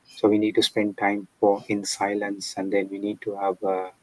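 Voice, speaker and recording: Methodical male panel guest, lowish flat delivery, dry close sound. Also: normal speed, no echo, low-quality recording. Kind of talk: explanatory chat.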